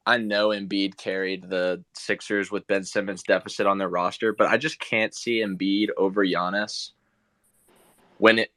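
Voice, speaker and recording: A man's voice: on the low side, a bit bright, dry recording. A man in a casual discussion, arguing his point earnestly and insistently. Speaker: call-in participant.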